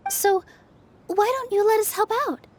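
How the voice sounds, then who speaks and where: A woman voicing a gentle, earnest young forest fairy for a video game, soft and sweet in tone. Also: extremely high pitch, a little slow. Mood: gentle, conciliatory.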